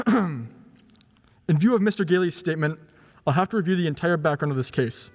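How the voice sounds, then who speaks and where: A man's voice: fairly high pitch, lively intonation, brisk, darkish tone, long reverb tail. A man playing a stern, impatient courtroom judge in a radio play, formal and pompous in manner. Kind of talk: stage-style radio play acting.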